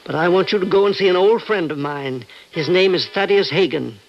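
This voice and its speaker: A concerned doctor in a vintage radio play, fairly high-voiced with a gravelly creak. He reasons in an earnest, measured, halting way. The recording is dull and old. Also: a little hiss or hum. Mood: earnest, reassuring. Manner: earnest, hesitant, measured persuasion. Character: concerned, reasoning older male doctor and confidant. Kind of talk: old-time radio drama acting.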